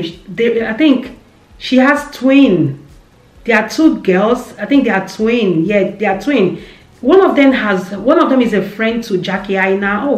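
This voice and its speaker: A woman in her thirties with a Nigerian accent, chatting casually to camera about business, animated and repeating herself for emphasis. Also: mid-range voice. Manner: animated, repeats phrases, gestures a lot with hands. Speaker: vlogger. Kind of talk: casual business advice chat. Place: indoor room with shelves. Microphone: camera in front, seated. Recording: roomy echo.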